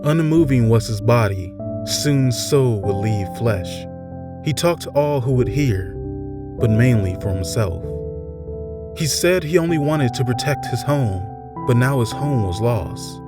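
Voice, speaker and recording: A man with a mid-pitched voice reads a fairytale as a dramatic narrator. He moves through it in short, measured phrases with theatrical pauses. Clear instrumental music plays underneath him. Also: lively intonation. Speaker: storyteller narrator.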